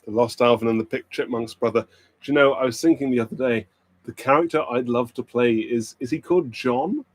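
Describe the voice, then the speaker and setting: A man's voice: mid-range voice, a little fast. A man with a British RP accent hosting a pub quiz livestream, chatting and joking with viewers. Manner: playful, joking banter with the chat. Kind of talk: casual livestream chat with viewers.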